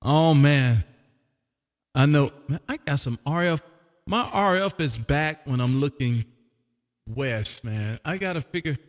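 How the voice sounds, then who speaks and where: A man's voice: fairly high pitch, animated, a little slow, long reverb tail. An American man hosting a casual amateur radio chat in a folksy way, often repeating his phrases. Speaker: amateur radio operator and live stream host.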